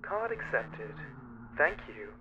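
Man as slow automated security announcer, muffled. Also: mid-range voice, very animated, noisy background. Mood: polite, impersonal. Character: automated facility card-scanner announcer, polite and impersonal.

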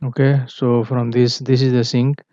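A man explains engineering drawings in a slow, level delivery that keeps stopping for fillers and self-corrections. His tone sits on the dark, mellow side. The voice-over sounds dull and lo-fi, recorded dry with no room sound. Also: medium pitch, little pitch movement, no echo. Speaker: MEP engineering tutor. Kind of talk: screen-share drawing walkthrough.

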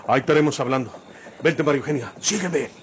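Actor as Mexican outlaw bandit, very noisy recording. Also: male voice, mid-range voice, fast talker, close to an everyday voice. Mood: urgent. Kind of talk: old Mexican radio serial acting. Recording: very noisy old radio serial recording.

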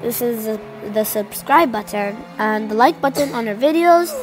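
Young teen girl, high voice, mild cold, rambling gameplay chatter over game music. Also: lively intonation, moderate pace. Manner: chatty, rambling, addresses viewers directly. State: slightly sick with a cold. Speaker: gaming channel co-host. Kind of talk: casual gameplay commentary.

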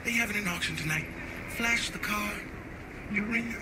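A man's voice: sits high, normal speed, very noisy. An American man voicing a flamboyant, brash middle-aged pimp in a video game, with slangy swagger and an electronic edge to his voice. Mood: cocky. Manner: slangy, swaggering street talk. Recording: music behind the voice.

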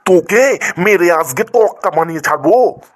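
Man as strict cartoon schoolmaster, high voice, exasperated scolding and threats. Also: lively intonation, normal speed. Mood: scolding, angry. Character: stern new male schoolteacher, scolding and threatening. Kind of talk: comedy cartoon dubbing.

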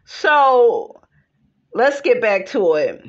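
A woman with an American accent hosting a gossip podcast, talking casually in a sassy, slangy way with animated asides, on muffled lo-fi audio. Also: fairly high pitch, extremely slow. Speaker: podcast host. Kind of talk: casual gossip commentary, reading posts aloud.

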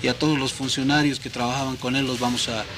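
Police officer, measured and halting. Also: male voice, medium pitch, little pitch movement, crowd noise. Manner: measured, official, many hesitations and tag "¿no?". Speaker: police major, anti-corruption unit chief. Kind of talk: studio TV interview. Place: TV studio.

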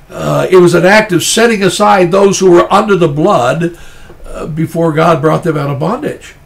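A middle-aged man, a pastor with a stuffy nose, preaching an earnest, measured sermon and often restarting his phrases. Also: on the high side, vocal fry.

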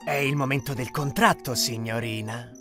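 A man's voice: medium pitch, steady tone, normal speed, pitched below the performer's own voice, natural voice. A man voicing a scheming male god of the underworld in a cartoon, talking in a wheedling, conniving way. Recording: music behind voice.

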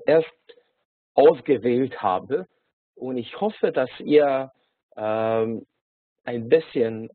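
A man from Hungary speaking with a Hungarian accent, teaching an online lesson on a video call, halting with many filler sounds and restarts. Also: medium pitch, husky, no room sound. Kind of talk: online lecture. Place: small indoor room. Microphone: webcam or laptop mic on a video call. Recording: tinny phone-like video call.

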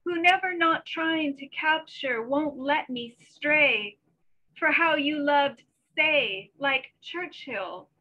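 A woman's voice: sits high, slow. A middle-aged woman, a poet presenting and reading her own work over a video call from home. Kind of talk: poetry reading with informal introductions. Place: small bedroom at home. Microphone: computer mic on a video call. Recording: slightly dull video call audio.

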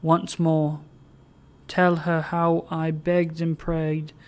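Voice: medium pitch, monotone, extremely slow. Reader voicing a fallen, sorrowful young woman.

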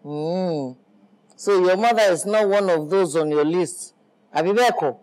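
A man's voice: high voice, a little slow, darkish tone, poor audio. A man playing a nagging Nigerian mother who scolds her son for staying away and wants grandchildren. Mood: reproachful. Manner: scolding, guilt-tripping.

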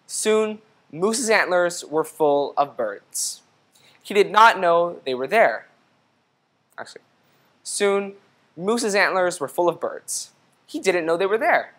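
A young man reads a picture book aloud for children. He speaks in an instructive storytelling way, pausing to address the young listener directly. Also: high-pitched, expressive, fairly bright tone. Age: young adult. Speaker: storybook reader. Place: indoor studio room. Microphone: lapel mic.

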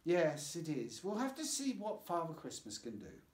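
Man acting firm, caring storybook mum, raised pitch. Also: huge pitch swings, moderate pace. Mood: patient persuasion. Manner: chiding, reasoning. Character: firm, practical, caring mother of three children. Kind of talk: children's storybook reading. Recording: roomy, echoing voice.

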